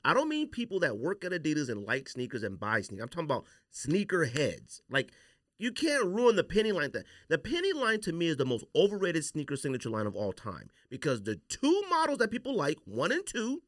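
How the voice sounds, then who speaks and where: A man's voice: on the high side, huge pitch swings, brisk, fairly bright tone, dry recording, clean studio sound. A man chatting casually as a podcast host, slangy and opinionated in the way he talks. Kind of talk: casual chat.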